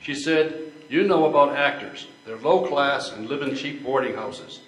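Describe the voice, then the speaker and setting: A man's voice: medium pitch, unhurried, a little hiss or hum, lightly acted. An older man playing a timid, sheltered mama's boy in a period stage play reading, earnest and hesitant. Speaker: amateur readers-theater player. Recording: echoey room.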